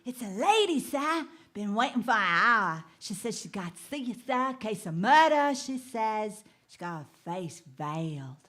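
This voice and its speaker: A woman's voice: mid-range voice, sing-song, unhurried, few pauses, a bit bright. A woman playing an eager, deferential working-class page boy in a radio drama. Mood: urgent, eager. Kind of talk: radio drama character acting.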